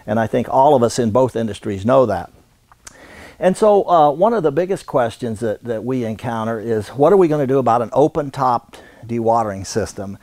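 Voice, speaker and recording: An American man in his sixties giving an unscripted instructional demonstration in a halting, folksy way. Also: mid-range voice, animated, a little mellow, no room sound. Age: 60s. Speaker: radon supply business owner and instructor. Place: indoor warehouse.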